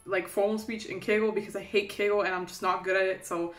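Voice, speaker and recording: A young woman in her twenties speaks with a German accent, chatting casually and self-deprecatingly to her camera. Her mid-pitched voice bounces around a small, echoey bedroom, with faint music playing softly underneath. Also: flat delivery, brisk. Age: 20s. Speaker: vlogger.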